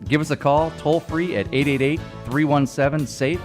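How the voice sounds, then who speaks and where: A man's voice: on the high side, moderate pace, darkish tone, faint music behind. A man reading a scripted radio advertisement as a business spokesman.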